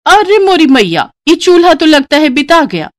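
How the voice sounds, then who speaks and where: Woman acting young village daughter-in-law, rustic Banaras accent, high pitch, brash, folksy, cheerful, moral-story character. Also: big pitch swings, moderate pace. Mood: dismayed. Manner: exclamatory, folksy, cheeky.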